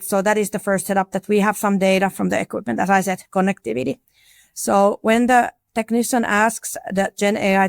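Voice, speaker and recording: This is a woman on a conference panel with a gravelly edge to her voice. She talks frankly and with confidence. Her phrases often restart. The sound is close and dry. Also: mid-range voice, no room sound. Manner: frank, self-assured, frequent restarts.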